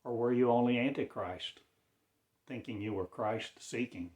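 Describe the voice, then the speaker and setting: A man delivering a rambling spiritual monologue in a slow, drawn-out, cryptic declaiming manner. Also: mid-range voice, darkish tone, reverberant.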